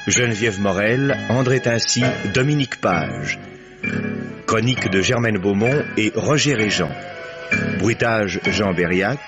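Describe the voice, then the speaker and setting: Formal male radio announcer. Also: lowish voice. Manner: formal, measured. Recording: old radio recording with loud music.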